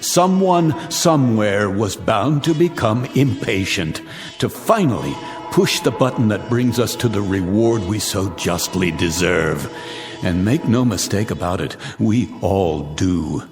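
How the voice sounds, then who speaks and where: A sneering doomsday cynic, lively swooping delivery, hall reverb over music. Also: male voice, fairly low pitch, a little slow. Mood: gloating. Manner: sneering, theatrical, mocking. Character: cynical wealthy man gloating over doomsday. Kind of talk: 1980s television drama acting.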